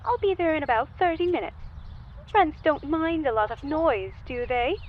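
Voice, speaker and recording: A woman's voice: sits high, expressive, normal speed. A woman voicing an affectionate, fussy mother who talks sweetly to her child and the friends. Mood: doting. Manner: fussy, sweet, a bit scattered. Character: doting middle-aged mother, affectionate. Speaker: mother character. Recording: dull lo-fi mic with faint hiss.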